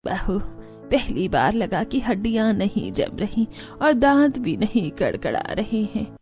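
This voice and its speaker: A woman voicing a weary elderly village mother-in-law in a cartoon story, with drawn-out speech. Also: medium pitch, sing-song, darkish tone, soft background music. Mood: relieved. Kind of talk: Hindi cartoon story voice acting.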